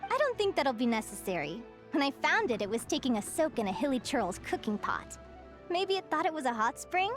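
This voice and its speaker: A woman playing an earnest, gentle young female naturalist in a video game, explaining things articulately and with curiosity. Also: sits high, very animated, a little fast, faint music behind. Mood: light. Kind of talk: scripted video game character dialogue.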